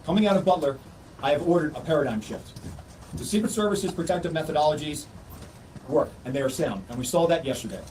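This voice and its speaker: A man in an official role answers press questions at a quick pace. His phrasing is formal and emphatic, and he sounds away from the mic with clear noise behind him. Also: on the high side, darkish tone. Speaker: Secret Service official. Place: press conference. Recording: noisy, distant press conference pickup.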